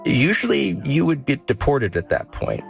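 A man reporting a story on a news podcast, explaining it in a measured, storytelling way. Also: medium pitch, huge pitch swings, vocal fry, faint music behind.

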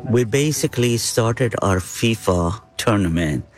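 Man in his 60s from Iran, lowish voice, earnest, slow deliberate phrasing. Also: animated, over music. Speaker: interviewee, father. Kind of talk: interview answers.

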